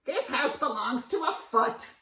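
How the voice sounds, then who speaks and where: A woman's voice: high voice, animated, unhurried, bright, forceful tone, far from the mic, a little hiss or hum, voice pushed up, exaggerated voice. A woman voicing a fussy, bossy female storybook bird who speaks in an emphatic, complaining way. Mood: scolding. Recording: roomy, away from the mic.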